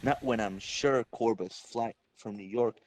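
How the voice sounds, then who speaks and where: A man's voice: lowish voice, measured pace, close to an everyday voice, poor audio. A man reading the part of a young, wisecracking male superhero thinking aloud in a dramatic comic-book reading. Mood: suspicious, pondering.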